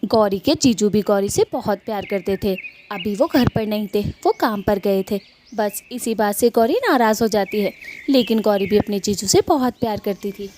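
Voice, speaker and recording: A woman's voice: medium pitch, lively intonation, normal speed, darkish tone, a little hiss or hum. A woman telling a scripted cartoon story as its narrator.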